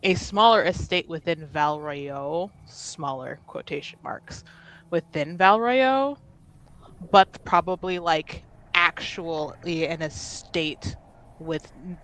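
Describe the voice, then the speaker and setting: A woman playing a tabletop game, thinking aloud in casual, halting, informal narration. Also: low-pitched, a bit bright, low-quality recording.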